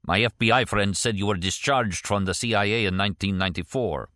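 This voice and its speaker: An older Russian defector acted by a male audiobook reader speaks in a low voice with a Russian accent. He is proud and shrewd, placing formal words deliberately. The sound is dry and close. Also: pushed and bright, no echo. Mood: matter-of-fact. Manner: deliberate, formal, precise wording.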